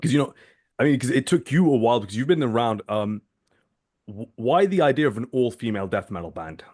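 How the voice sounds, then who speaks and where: Male podcast host, mid-pitched, eager and rambling, heavy on fillers. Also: animated, fairly quick, a little mellow. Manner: enthusiastic, rambling questions with many fillers. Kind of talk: casual interview questions.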